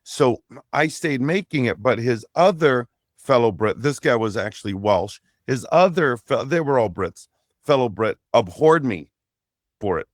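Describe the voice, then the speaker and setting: A man's voice: medium pitch, lively intonation, measured pace, no echo, studio quality. A middle-aged man, a native Portuguese speaker, co-hosting a soccer podcast and telling long, rambling stories with frequent asides. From Brazil. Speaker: podcast co-host. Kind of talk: casual chat, storytelling.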